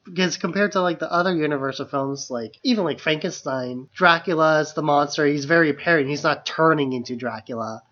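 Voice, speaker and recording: Higher-voiced man in casual podcast chat. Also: brisk, hardly any pauses, a bit bright. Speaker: podcast co-host. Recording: slightly dull, lo-fi podcast audio.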